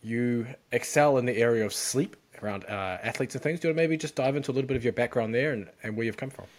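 A man's voice: medium pitch, fast talker. A man hosting a podcast, easygoing and affirming with short replies as he leads the interview. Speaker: podcast host.

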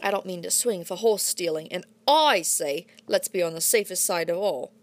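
A woman's voice: fairly low pitch, moderate pace, natural voice. A woman voicing a burly male bandit, jovial and menacing, who growls and gloats. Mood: gloating.

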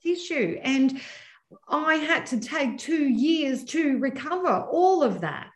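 An Australian woman in her forties talks casually as a podcast guest, her voice sitting a bit high. She jokes freely and pokes fun at herself between points. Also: expressive. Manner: joking, self-deprecating, quick to laugh. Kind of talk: casual chat.

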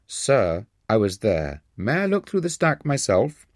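An earnest young college student, played by a male narrator, speaks politely and formally with a bold, cheeky edge. The audiobook delivery is lively in pitch and closely, dryly recorded. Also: unhurried, no room sound, studio quality. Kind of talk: audiobook character voice.

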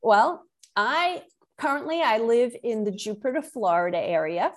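A woman's voice: on the high side, animated, a little slow. A middle-aged woman with a Brooklyn accent, speaking conversationally as an author and guest in an interview. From Brooklyn, New York.

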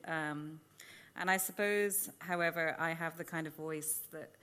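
A woman from Ireland with an RP accent, a literature lecturer speaking in a measured, reflective way on a live panel. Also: low voice, a bit bright. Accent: Received Pronunciation. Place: small museum event room.

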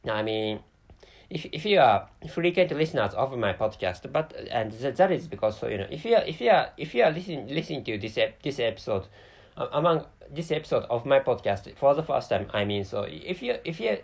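A man with a Japanese accent, a non-native English speaker, hosting a solo podcast and talking haltingly with many restarts and self-corrections. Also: mid-range voice, sing-song, creaky. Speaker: podcast host.

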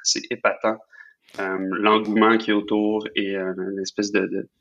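Québécois man, podcast guest, halting and filler-heavy, lowish voice, dull remote line. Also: flat delivery, fairly bright tone. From Quebec. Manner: halting, many fillers and repeated words. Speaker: guest, head of design. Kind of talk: remote interview. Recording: dull lo-fi call audio.

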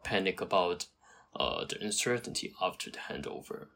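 Hesitant Hong Kong man, lowish voice. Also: expressive, normal speed, gravelly, bright, forceful tone, slight background noise. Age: early 30s. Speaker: podcast guest, climate scientist. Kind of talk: conversational interview answers.

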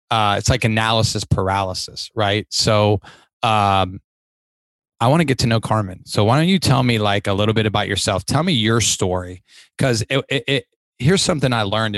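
A man's voice: fairly low pitch, fairly bright tone, no echo. A man hosting a podcast interview, talkative and enthusiastic, rambling a little as he sets up questions. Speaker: podcast host. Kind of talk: casual interview chat.

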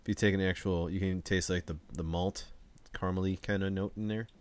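A man's voice: sits low, fairly even intonation, a little fast, smooth. A young man co-hosting a casual beer podcast, laid-back and jokey, laughing often. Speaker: podcast co-host. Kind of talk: casual chat.

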